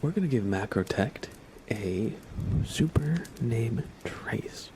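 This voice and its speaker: A man hosting an ASMR livestream, chatting softly and mostly in whispers close to the microphone. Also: mid-range voice, animated, very noisy. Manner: slow, soft, mostly whispered.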